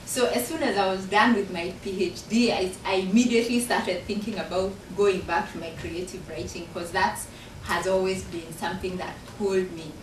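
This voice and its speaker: A woman's voice: mid-range voice, steady tone, normal speed, far from the mic, background noise. A woman from Kenya with a Kenyan accent, an author and professor talking earnestly about her book to an audience in a university function room. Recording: echoey room recorded from afar.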